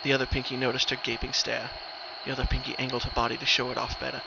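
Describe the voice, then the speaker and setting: Man narrating dramatically over clear background noise. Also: completely flat delivery, rapid-fire, sharp tone, dull audio. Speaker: narrator of horror fan fiction. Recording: noisy recording, rumbling ambient background.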